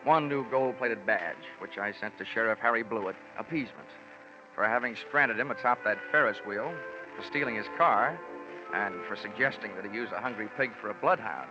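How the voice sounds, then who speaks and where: An American man acting a wry, wisecracking insurance investigator in 1940s radio style, with deadpan asides. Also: fairly low pitch, moderate pace, close to an everyday voice. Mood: dry, wry. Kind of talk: 1940s radio acting, first-person narration. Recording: dull vintage radio recording with music.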